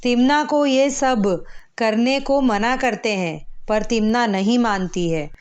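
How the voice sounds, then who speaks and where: A woman storyteller reading a scripted children's moral tale, measured and phrase by phrase. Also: on the high side, smooth.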